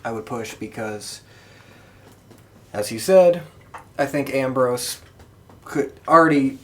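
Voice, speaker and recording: A man's voice: medium pitch, lively intonation, takes it slowly, a bit bright. A man co-hosting a podcast in casual, conversational discussion. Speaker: podcast co-host.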